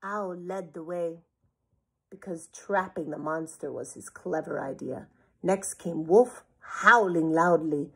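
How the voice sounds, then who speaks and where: A woman's voice: on the low side, slightly rough. A woman in her thirties reading a picture book aloud expressively for children. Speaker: storybook reader. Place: bedroom, indoors.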